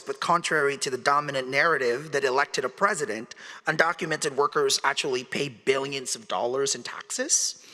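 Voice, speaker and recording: A man's voice: mid-range voice, sharp tone. A man in his forties, born in the Philippines, giving a conversational public lecture and often asking the audience questions. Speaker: guest speaker. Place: large hall. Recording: long hall reverb.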